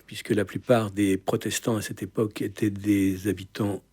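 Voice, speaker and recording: A man's voice: on the low side, flat delivery, normal speed, dark tone, slightly rough. A man co-presenting on a radio show, talking casually and drifting into asides while he hunts aloud for the right word. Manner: digressive, searching for words aloud.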